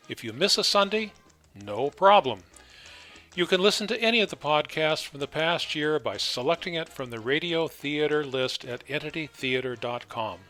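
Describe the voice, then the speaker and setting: A man's voice: a little high, bright, forceful tone. A man speaking as the host of an interview podcast. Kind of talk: scripted introduction, then conversational interviewing.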